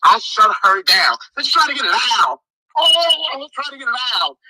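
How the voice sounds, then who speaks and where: High, bright, fiery-voiced man. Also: sing-song, normal speed, vocal fry, breathy edge, no echo, low-quality recording. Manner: strong, forceful voice; emotional, fiery delivery. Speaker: political candidate. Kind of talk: impassioned thank-you speech.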